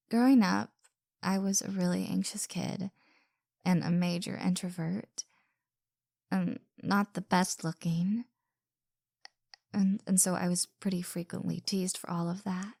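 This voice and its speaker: An anxious young woman played by a woman in an intimate audio drama, full of self-doubt and apology. She speaks slowly and haltingly in a soft voice with a creaky edge, stammering and sighing, often sinking to a whisper. The sound is close and dry. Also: mid-range voice, no echo, exaggerated voice. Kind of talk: intimate audio roleplay acting.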